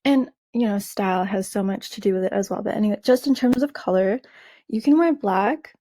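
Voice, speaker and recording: A woman with a slightly raspy voice hosting a color analysis lesson, explaining in a measured, friendly way with some fillers and restarts. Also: mid-range voice, dark tone, no echo. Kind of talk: explaining over a slideshow.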